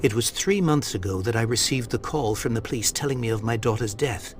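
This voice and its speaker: A man's voice: mid-range voice, fairly quick, lightly acted. Brief: male actor for wealthy elderly father, haughty yet desperate, formal diction, medium register, period video game, faint noise behind. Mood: solemn, urgent. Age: older. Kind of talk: video game voice acting, period mystery drama.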